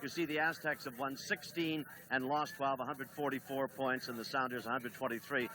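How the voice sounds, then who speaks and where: A man doing play-by-play on a 1970s television soccer broadcast, briskly reading lineups and standings. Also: medium pitch, fairly even intonation, bright, forceful tone, low-quality recording. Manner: brisk, reads lineups and stats. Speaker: play-by-play announcer.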